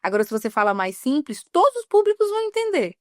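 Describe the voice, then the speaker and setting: A woman journalist from Northeast Brazil, in her thirties, talking earnestly in an interview and slowing down on key words. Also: high voice, big pitch swings, no echo, studio quality. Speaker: journalist guest. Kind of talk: reflective interview talk.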